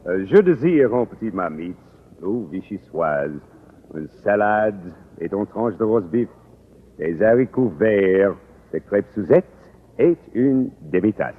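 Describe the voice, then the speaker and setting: A man's voice: fairly low pitch, animated, measured pace. An actor playing an amorous, earnest middle-aged Frenchman with a French accent and broken English, in radio sitcom style. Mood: playful. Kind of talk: 1950s radio sitcom acting. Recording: tinny hissy old radio broadcast.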